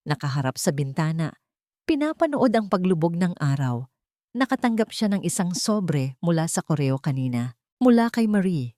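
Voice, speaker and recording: A woman narrates a dramatic audiobook story in a slightly low voice. Her pitch moves in lively sweeps, and the recording is dry and close. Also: no echo.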